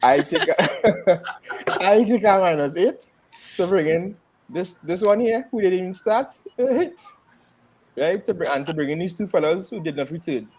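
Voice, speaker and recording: A man's voice: high voice, lively intonation, normal speed, darkish tone, slight background noise, low-quality recording. A man co-hosting a fantasy football podcast, laughing at himself and repeating his words as he talks. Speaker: podcast co-host. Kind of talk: casual chat about fantasy football.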